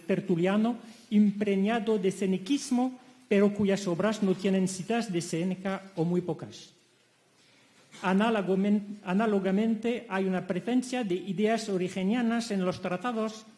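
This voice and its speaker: French-accented middle-aged male lecturer, high voice, mellow tone, measured reading. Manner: measured, careful reading with occasional self-corrections. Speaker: conference speaker. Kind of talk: academic lecture read from a text. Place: lecture hall.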